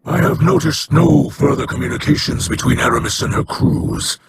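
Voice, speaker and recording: A man voicing a grave, gravelly alien ally. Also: mid-range voice, normal speed, heavy background noise, natural voice.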